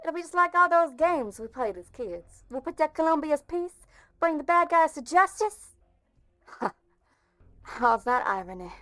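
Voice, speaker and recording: A woman's voice: sits high, sing-song, unhurried, bright, forceful tone, no room sound, heavily acted. A woman playing a stern, bitter police officer in an amateur game fan dub, with a cutting, sarcastic delivery. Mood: sarcastic, angry. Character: stern, bitter policewoman confronting an old friend.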